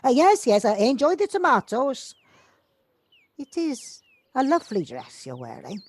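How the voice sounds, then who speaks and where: A woman's voice: high-pitched, huge pitch swings, unhurried, husky, exaggerated voice. A woman playing a kindly, chatty and slightly reserved neighbour in an amateur audio drama, speaking politely. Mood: flustered, polite. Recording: home-recorded on a personal device.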